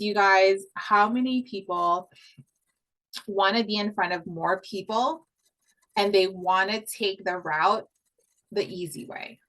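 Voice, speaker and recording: A woman's voice: mid-range voice, fairly bright tone, low-quality recording. An upbeat woman from Hawaii talks through a podcast sales lesson in a loose, chatty way. She repeats her key points and drops casual swears as she goes. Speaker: podcast coach. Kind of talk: casual online course talk.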